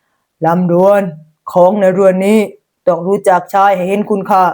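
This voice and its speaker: A woman's voice: medium pitch, level tone, moderate pace, mellow, pitched below the performer's own voice, close to an everyday voice. A woman voicing a stingy, stern old mother-in-law in a folk tale, with a hard, scolding manner. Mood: flat, controlling.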